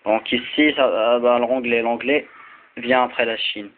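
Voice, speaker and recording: A man's voice: mid-range voice, fairly even intonation, measured pace. A man whose first language is Arabic gives a casual explanatory talk for a video. He speaks hesitantly, with many restarts and fillers. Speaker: video presenter. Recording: tinny lo-fi phone-like sound with faint noise.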